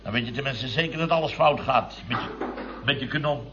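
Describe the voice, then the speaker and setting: An actor playing a jovial, blustering building-firm boss in a radio comedy, telling stories with sarcastic grumbles and asides. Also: male voice, mid-range voice, fairly quick, a bit bright, close to an everyday voice. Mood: sarcastic, exasperated. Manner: sarcastic, tags sentences with chuckling asides. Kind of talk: 1970s Dutch radio comedy acting. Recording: dull vintage radio recording with audience.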